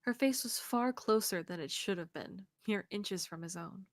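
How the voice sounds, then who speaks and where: A woman reading visual novel narration aloud on a game stream in an expressive way, close to the mic. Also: mid-range voice, moderate pace, no echo. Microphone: close streaming mic, dry sound.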